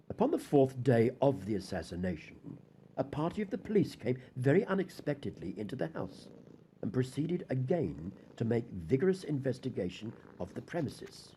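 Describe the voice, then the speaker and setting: A man's voice: medium pitch, warm tone, slight background noise, low-quality recording. A man performing a deranged, guilt-haunted murderer's confession in a theatrical, formal gothic audiobook reading. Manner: theatrical, formal, dramatic emphasis.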